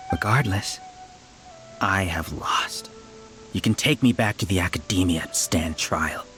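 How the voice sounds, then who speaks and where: A proud, bitter scholar villain in a game. His lowish voice moves a lot in pitch. He is cutting and condescending. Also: wildly swooping pitch, slow pace, pauses often, sharp tone, faint music behind, heavily acted. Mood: defeated. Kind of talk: game voice acting.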